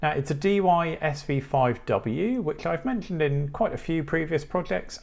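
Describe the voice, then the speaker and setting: A man's voice: medium pitch, vocal fry, darkish tone. A man with a British accent presenting a tutorial in a methodical, explanatory way.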